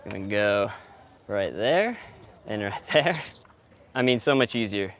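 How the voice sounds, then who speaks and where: A man's voice: fairly low pitch, lively intonation, a little slow, a bit bright. A man talking casually and teasingly as he explains the work he is doing on location. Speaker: sound engineer and host. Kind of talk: casual chat while working. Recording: clear background noise, on-location audio.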